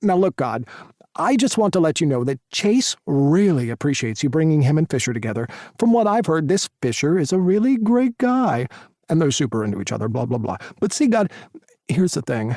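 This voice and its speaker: A man's voice: a little high, sing-song, fairly quick, darkish tone, no echo, clean studio sound, pitched above the performer's own voice. A man voicing a sassy, flamboyant young male veterinarian in his twenties, camping it up on a mock phone call. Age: 20s.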